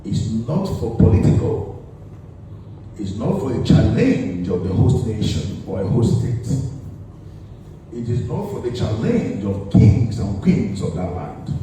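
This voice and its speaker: A middle-aged community leader, a man, answering interview questions in a measured way and listing his points one by one. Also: lowish voice, very animated, dark tone. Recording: echoey room, voice far from the mic, faint noise.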